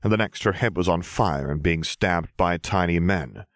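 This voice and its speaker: A man reading a scripted story as narrator, in a measured, expressive way. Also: deep voice, dry recording, studio quality. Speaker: audiobook narrator.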